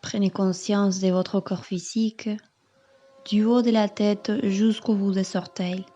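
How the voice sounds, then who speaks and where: A woman yoga teacher calmly leading a guided meditation in measured, instructing phrases. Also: mid-range voice, muffled recording. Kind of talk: slow guided meditation narration.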